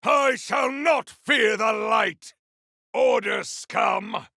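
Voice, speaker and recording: A man playing a zealous, boastful, battle-hungry warrior champion in a video game, with a raspy voice and bombastic, archaic delivery. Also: high-pitched, very slow, bright, forceful tone, dry recording, raised voice, studio quality, heavily acted. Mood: battle fury. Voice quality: gravelly, raspy. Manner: bombastic, archaic, theatrical declamation. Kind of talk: video game combat barks.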